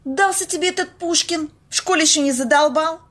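Woman acting a brash, high-voiced skeptical woman. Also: a little fast, a bit bright. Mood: bossy, impatient. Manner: blunt, colloquial, bossy. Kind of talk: amateur audio play acting.

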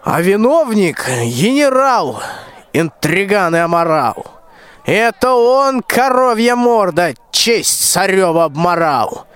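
A young man in a radio play acting a cowardly, wheedling, self-pitying old tsar in slow, theatrical, plaintive rhymed verse. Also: sits high, sing-song, a bit bright, clean tone, exaggerated voice. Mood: pious, self-pitying. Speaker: school student actor.

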